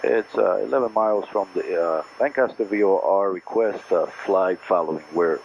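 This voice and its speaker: A man's voice: deep voice, moderate pace, a little mellow. A man learning to fly, talking casually and making hesitant radio calls over a headset. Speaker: student pilot. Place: small aircraft cockpit in flight. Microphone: aviation headset. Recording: muffled headset audio with clear cabin noise.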